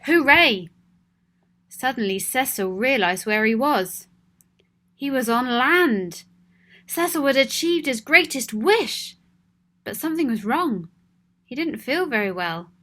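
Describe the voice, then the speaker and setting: A fairly high-voiced woman reads a children's story aloud. Her telling is gentle and expressive, in the easy way of a storybook read to young listeners. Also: measured pace.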